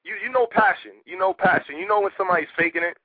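A man from Haiti, a rapper guest talking casually in a radio interview, animated and streetwise. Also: sits high, a crowd in the background. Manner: animated, streetwise, rapid talk with repeated "you know what I mean". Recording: tinny phone line, dry.

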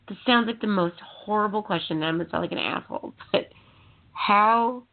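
A woman's voice: medium pitch, a little slow. A woman in her thirties chatting casually as a host, candid and self-deprecating as she tells everyday stories. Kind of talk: casual chat. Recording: dull phone-like audio.

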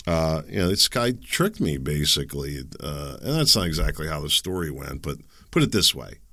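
Deep-voiced man in his fifties, dry booth sound, loose sarcastic solo podcaster. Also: a bit bright, no room sound. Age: 50s. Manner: rambling, sarcastic, self-deprecating asides. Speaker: podcast host. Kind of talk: casual solo podcast monologue.

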